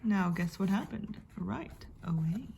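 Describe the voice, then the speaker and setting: A woman storyteller giving a dramatic reading of a rhyming picture book, expressive, with hushed and emphatic passages. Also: mid-range voice, measured pace, vocal fry. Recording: faint background noise.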